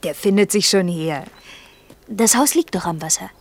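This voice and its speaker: A young visitor in a radio play, naive yet cheeky. Her husky voice moves freely up and down, though her replies are terse, offhand and a little evasive. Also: medium pitch, animated, normal speed. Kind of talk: naturalistic German radio play dialogue.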